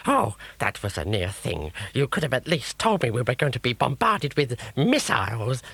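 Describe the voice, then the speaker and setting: A man's voice: mid-range voice, wildly swooping pitch, normal speed, creaky, fairly bright tone, slightly rough, no echo, voice pushed up, exaggerated voice. A man voicing a bossy, irritable witchy villainess who scolds and threatens imperiously in a children's story. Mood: scolding.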